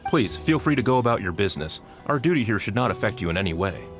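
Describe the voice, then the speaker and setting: A man's voice: lowish voice, very animated, quick pace, over loud music. A man voicing an orderly patrol soldier in a video game, formal and businesslike. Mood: official, calm.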